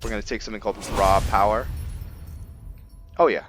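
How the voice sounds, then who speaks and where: A man doing casual roleplay commentary over a video game, thinking aloud in a halting way. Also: on the low side, steady tone. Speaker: gaming video host. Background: game music.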